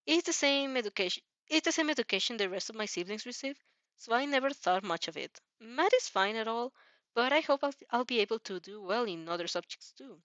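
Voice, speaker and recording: A woman plays a young vampire girl from a fan fiction story, cheeky and sure of herself. The voice is fairly high, casual and chirpy, recorded very dry. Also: expressive, a little fast, a bit bright, no echo. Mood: matter-of-fact. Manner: chirpy, casual, self-assured.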